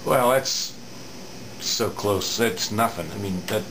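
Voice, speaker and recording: A middle-aged man giving an unscripted hands-on repair demonstration in a halting, matter-of-fact way, recorded at an indoor workbench. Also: on the low side. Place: indoor home electronics workbench. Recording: steady room hum, air-conditioning noise.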